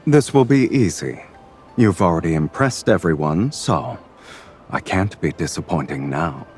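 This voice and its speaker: A man playing a suave, teasing, self-assured love interest in a video game, speaking in a smooth, wry manner. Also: low voice, animated, measured pace, a little mellow, faint music behind. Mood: playful, confident. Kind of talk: video game cutscene voice acting.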